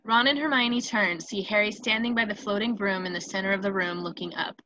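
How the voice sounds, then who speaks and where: A woman's voice: medium pitch, fairly quick, dull audio, a crowd in the background. A woman reading stage directions as the narrator of a scripted screenplay table read.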